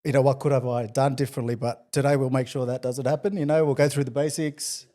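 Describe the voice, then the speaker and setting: A man with a mellow, dark voice co-hosts in a laid-back manner. His delivery stays level and even, with very little rise and fall. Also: medium pitch, fast. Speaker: co-host. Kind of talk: casual show hosting.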